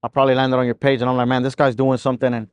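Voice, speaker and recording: A man's voice: mid-range voice, level tone, rapid-fire, clear, resonant tone, dry recording. A man hosting a casual podcast interview, asking his guest questions in a laid-back, chatty way. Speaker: podcast host.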